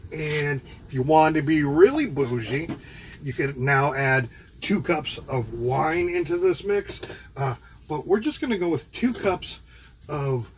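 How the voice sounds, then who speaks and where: A man hosting a home cooking show, explaining each step in a relaxed, halting way with many fillers. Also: fairly high pitch, slight background noise. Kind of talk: casual cooking demonstration. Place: home kitchen, indoors.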